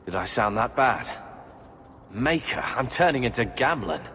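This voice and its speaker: A man's voice: mid-range voice, expressive, unhurried, pushed and bright, a little hiss or hum. An actor with a British accent playing a sullen, resentful young male warrior in a video game, sardonic and insistent. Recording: long reverberant echo.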